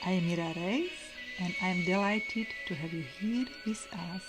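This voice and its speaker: A woman's voice: lowish voice, normal speed, over music. A woman hosting a podcast who greets and introduces her guest in a welcoming way and uses simple phrasing. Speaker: podcast host.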